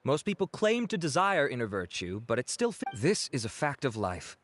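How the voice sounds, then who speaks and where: An actor playing a suave, vain beauty-product researcher in a video game, with a polished, flattering, formal manner. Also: male voice, mid-range voice, normal speed, slight background noise. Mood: earnest, persuasive. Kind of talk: video game character dialogue. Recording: background music behind the voice.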